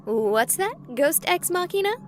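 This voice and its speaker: High-pitched, excitable young streamer girl. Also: measured pace, a little hiss or hum, heavily acted. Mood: nervous, wry. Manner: expressive, rambling, wisecracking to an unseen audience. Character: excitable young woman streamer exploring a haunted hospital, jokey, rattled. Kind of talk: video game voice acting.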